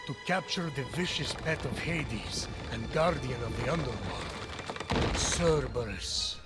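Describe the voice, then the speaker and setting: A man playing a wise, formal immortal who narrates Greek myths in a measured, grave manner for a video game. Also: medium pitch, animated. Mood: grave, storytelling. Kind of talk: scripted narration. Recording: music behind the voice.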